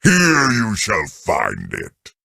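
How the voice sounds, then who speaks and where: A decadent giant alien emperor for a game. The voice is bottomless and heavily gravelled, crawling along very slowly. He gloats and taunts with theatrical grandeur. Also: wildly swooping pitch, sharp tone, no room sound, clean studio sound, full character voice. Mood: gloating, seductive. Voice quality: deep, gravelly. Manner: pompous, theatrical, drawn-out.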